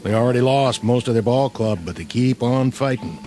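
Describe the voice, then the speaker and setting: An American actor playing a weary, cynical platoon sergeant in a radio drama, dry and colloquial. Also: male voice, mid-range voice, brisk, background noise, close to an everyday voice.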